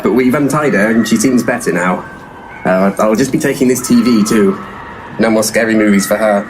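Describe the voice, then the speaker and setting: An actor playing a shifty, blunt, deadpan paranormal investigator in a comic audio play. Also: male voice, on the low side, normal speed, a little hiss or hum, close to an everyday voice, rough recording. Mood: evasive. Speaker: actor in an audio comedy drama. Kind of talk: audio play dialogue, dry comedy.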